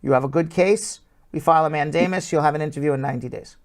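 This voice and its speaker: A man hosting a live call-in show as an immigration lawyer, giving brisk, blunt, matter-of-fact advice to callers. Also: fairly high pitch.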